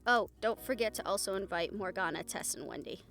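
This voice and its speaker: A woman's voice: medium pitch, expressive, a little fast, vocal fry, a bit bright. A woman playing a caring, teasing young woman in a fantasy romance audio roleplay, with a playful, affectionate, conversational delivery. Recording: music behind the voice.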